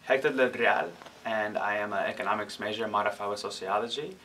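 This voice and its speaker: A young adult man, a college student, giving his opinion in an interview with formal, deliberate wording. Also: fairly low pitch, steady tone, bright, forceful tone. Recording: distant mic, faint room noise.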